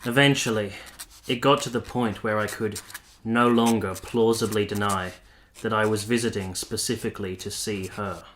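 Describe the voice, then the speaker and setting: A man's voice: lowish voice, steady tone, moderate pace, hardly any pauses, fairly bright tone, a little hiss or hum, close to an everyday voice. Man playing a courteous, wistful army captain. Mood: wistful narrating. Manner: polite, formal, literary phrasing. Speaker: actor and narrator in a radio play. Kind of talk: dramatic radio play acting.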